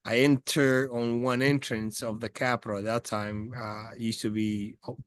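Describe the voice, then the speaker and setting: A man's voice: medium pitch, flat delivery, normal speed, dry recording, clean studio sound. A man in his forties from the Republic, speaking English, answering interview questions over a video call with many hesitant fillers and restarts. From Dominican Republic. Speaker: guest. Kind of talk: casual interview chat. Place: outdoors by a house among trees.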